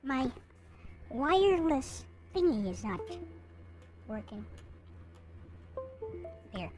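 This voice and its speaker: A woman livestreamer with a high, very lively voice talks casually to her chat. She takes her time, hesitating and murmuring to herself as she goes. There is light but noticeable noise in the recording. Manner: halting, muttering to self while setting up. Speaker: livestream host.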